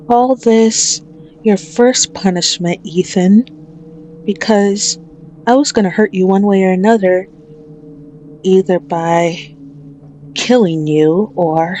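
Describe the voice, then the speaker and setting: A woman's voice: on the low side, steady tone, slow, long pauses, a little mellow, slight background noise, rough recording. A woman playing a possessive, manipulative female mafia boss in an ASMR audio roleplay, menacing and taunting with a mock-tender manner. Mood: cruel, gloating.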